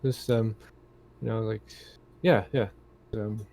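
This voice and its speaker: A man with a lowish voice talks in a casual roleplaying session. He is hesitant, with very drawn-out pacing as he searches for words. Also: fairly even intonation, gravelly, warm tone. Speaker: tabletop game player. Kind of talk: casual chat in online game session.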